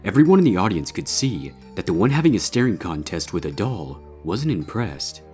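Very deep-voiced male story narrator. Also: big pitch swings, moderate pace, a little mellow, soft background music. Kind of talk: scripted fiction narration, horror story.

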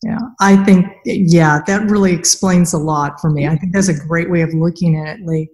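A woman's voice: lowish voice, steady tone, normal speed, darkish tone. A middle-aged woman hosting a casual interview on a video call, talking conversationally. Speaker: podcast host interviewing an author. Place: indoors against a plain wall, video call.

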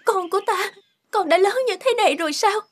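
A woman's voice: very high voice, a little fast. A woman voicing a tearful, remorseful young noblewoman in a melodramatic cartoon.

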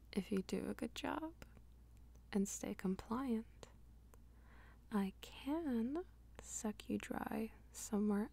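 A woman playing a dominant, teasing female vampire lover in an intimate ASMR roleplay, coaxing and breathy, often dropping toward a whisper. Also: on the high side, warm tone, full character voice. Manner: slow, coaxing, teasing pet names.

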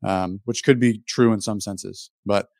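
A man's voice: fairly low pitch, fairly even intonation, unhurried, vocal fry, a little mellow, no echo, studio quality. A man in his thirties explaining things casually as a guest on a fitness interview. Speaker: fitness YouTuber, podcast guest. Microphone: microphone on a video call.

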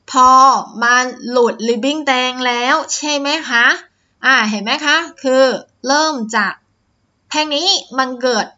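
A woman's voice: on the high side, bright, forceful tone, dull audio. A woman teaching stock chart reading step by step, with frequent "uh" fillers and polite sentence tags. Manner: explains step by step, many "อ่า" fillers and "นะคะ" tags. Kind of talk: screen-share stock chart lesson.

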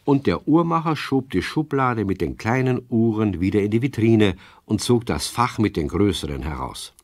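Deep-voiced man narrating a children's story. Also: expressive, moderate pace, no echo.

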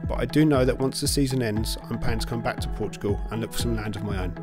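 A man's voice: mid-range voice, fast, over loud music. A man in his thirties introducing himself in a matter-of-fact way. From United Kingdom. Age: 30s. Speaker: volunteer.

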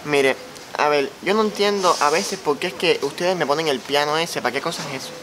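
Laid-back young Cuban man, high-pitched. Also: fairly quick. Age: young adult. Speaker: prank caller, co-host. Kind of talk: casual chat. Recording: clear street ambient noise.

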